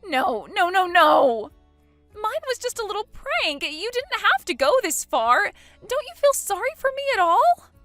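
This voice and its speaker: A woman voicing a shrill, entitled young woman who is spoiled and indignant. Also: big pitch swings, measured pace, fairly bright tone, dry recording, soft background music, heavily acted. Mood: pleading. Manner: shrill, outraged, whiny.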